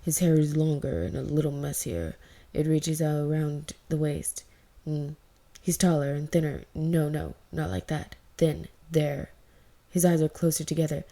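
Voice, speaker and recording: Young male cultivator, storybook fantasy, played by a woman: low, mellow, solemn, clipped, exacting. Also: a little slow. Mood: exacting, earnest. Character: stern, earnest young male cultivator, quietly smitten. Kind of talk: amateur fan fiction character reading.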